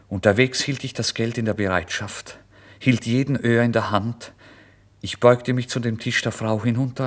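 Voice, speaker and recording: Ein Schauspieler spielt einen mittellosen, fiebrig aufgewühlten jungen Mann, der seine Geschichte in einem deutschen Hörspiel eindringlich als Ich-Erzähler vorträgt. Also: male voice, fairly low pitch, slightly rough. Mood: feverish, exalted. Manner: intense, brooding, swinging between whispers and loud outbursts. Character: destitute, feverish young man confessing his story, tormented, exalted. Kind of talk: dramatic first-person literary narration in a German radio play.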